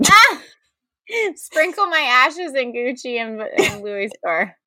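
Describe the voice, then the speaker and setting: A woman banters casually as a comedy podcast co-host. Her voice is bright and a little high, and she speaks unhurriedly in incredulous exclamations, often repeating words. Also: sing-song, slow pace, no echo. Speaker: podcast co-host guessing song titles.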